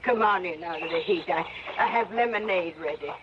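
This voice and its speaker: A woman playing an old backwoods granny in a radio drama, feisty and sly, with folksy, halting rural talk. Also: lowish voice, lightly acted. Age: older. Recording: dull, noisy old radio recording.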